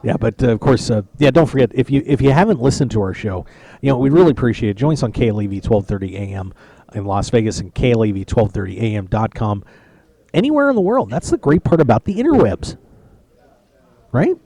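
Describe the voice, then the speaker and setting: A man hosts a poker radio show live from a tournament lounge. His voice is mellow and dark, and the sound is dry. He rambles and jokes with lots of restarts. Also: mid-range voice, sing-song, fast talker, dry recording. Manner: chatty, joking, rambling with restarts. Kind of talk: casual live-stream chat.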